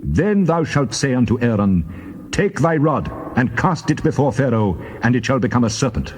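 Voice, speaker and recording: A man playing God as a solemn, commanding deity in a dramatized scripture reading, measured and declamatory. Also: medium pitch, lively intonation, a little mellow, slightly rough.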